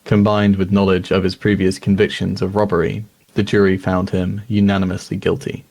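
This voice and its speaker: A man playing a sober news reader, narrating a crime documentary in a measured, grave and formal way. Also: deep voice, monotone, vocal fry, darkish tone.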